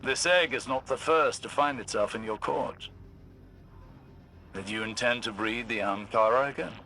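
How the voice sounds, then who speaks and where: A man's voice: medium pitch, normal speed, sharp tone. A man playing a wise older scholar-warrior in a sci-fi game, grave, probing and philosophical in a formal way. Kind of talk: scripted game dialogue.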